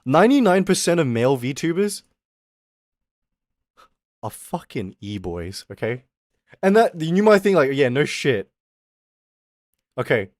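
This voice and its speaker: A young man streaming as a VTuber, ranting in a casual, animated way. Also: fairly high pitch, dry recording, studio quality. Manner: animated, exaggerated, quick mock voices and laughs.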